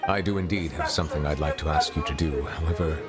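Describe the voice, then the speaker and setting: A very low male voice gives life to a courteous chief justice from a video game. He is formal and guarded, placing every phrase with measured precision while music plays prominently behind him. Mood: reserved, courteous.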